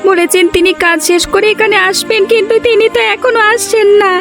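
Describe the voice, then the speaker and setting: Woman voicing a high-pitched, plaintive little village boy. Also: steady tone, brisk, a bit bright, faint music behind. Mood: frightened pleading. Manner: plaintive, pleading. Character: frightened lost little village boy, pleading. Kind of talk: cartoon dub acting.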